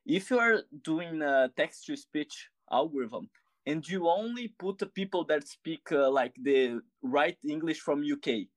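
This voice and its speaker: Brazilian-accented interviewer, dry close sound. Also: male voice, on the high side, expressive, measured pace, no echo. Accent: Brazilian Portuguese. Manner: searching for words, hesitant. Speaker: interviewer, host. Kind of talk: conversational interview questions.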